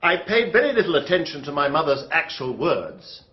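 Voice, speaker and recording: An actor playing a defensive English country gentleman on trial, stiff, clipped and formal, in a radio drama. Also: fairly high pitch, very animated, moderate pace. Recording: slightly dull radio drama recording.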